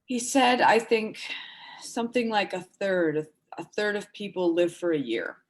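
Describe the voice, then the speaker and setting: Stage drama: actress as gravely ill woman under forty, mid pitch, evasive, stumbling over words. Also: expressive. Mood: evasive, shaken. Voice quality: halting, stammering speech. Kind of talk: dramatic reading of stage dialogue.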